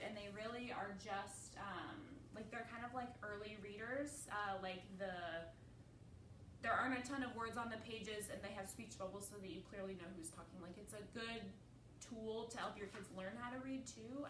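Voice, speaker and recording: A woman's voice: mid-range voice, a bit bright. A woman leading a children's storytime as librarian, giving simple encouraging instructions and putting questions to young listeners. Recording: roomy, distant mic, faint noise.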